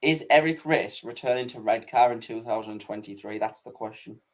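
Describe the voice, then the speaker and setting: A man's voice: fairly low pitch, normal speed. A man hosting a live online interview, bantering and teasing his guest. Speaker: host.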